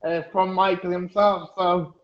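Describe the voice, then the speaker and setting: Hesitant man in his thirties, high voice. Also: little pitch movement. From Germany. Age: 30s. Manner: halting, hesitant, many fillers and restarts. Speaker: interview guest. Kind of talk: casual interview. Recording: echoey, dull lo-fi audio.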